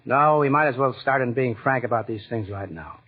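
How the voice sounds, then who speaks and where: A man's voice: mid-range voice, a little fast, close to an everyday voice. An American actor playing an earnest, proud but downhearted young husband and stepfather in a 1940s radio drama. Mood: apologetic, subdued. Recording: muffled, narrow-band 1940s radio recording.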